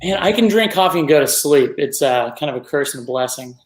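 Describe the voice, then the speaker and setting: A man's voice: on the high side, expressive, brisk, echoey room. A man co-hosting a casual chat show, chatty and joking at his own expense. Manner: chatty, self-deprecating, joking. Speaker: co-host.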